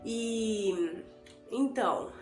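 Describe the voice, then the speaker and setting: A Brazilian Portuguese woman who has a cold speaks slowly and haltingly, filling pauses with little fillers and circling back over her words. Her voice sits a touch high and rings around a reverberant room, with faint music in the background. She talks unscripted, straight to her camera. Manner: hesitant, filler-heavy, repeats herself. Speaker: vlogger giving a conversion testimony. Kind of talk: unscripted personal testimony. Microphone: camera and phone.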